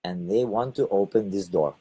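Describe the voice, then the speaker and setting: A man from Lithuania with a Lithuanian accent, giving a halting spoken monologue and often repeating or correcting his words. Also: deep voice, warm tone, breathy edge, rough recording. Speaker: online video host.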